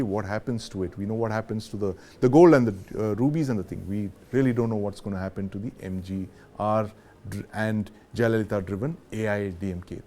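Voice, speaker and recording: A man's voice: on the low side, mellow, slight background noise. A man with an Indian accent hosting a conversational news discussion. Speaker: news show host.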